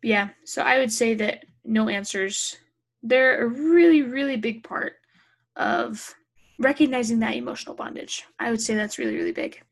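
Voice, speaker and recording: Young woman cohost, kinda husky, high-ish voice, chill and chatty. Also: normal speed. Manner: casual, chiming in, laughs. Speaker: podcast co-host. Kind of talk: casual chat.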